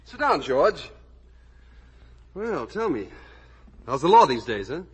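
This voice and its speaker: An actor playing a frank, protective grown son in a 1950 radio drama. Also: male voice, fairly high pitch, very animated, slow, husky, heavily acted. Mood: friendly.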